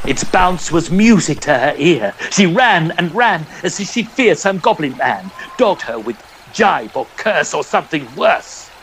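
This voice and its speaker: A man's voice: on the high side, lively intonation, measured pace, few pauses, fairly bright tone, a little hiss or hum, poor audio. A man narrating a poem in a theatrical old-style radio storytelling manner, with varied emphasis. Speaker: podcast narrator.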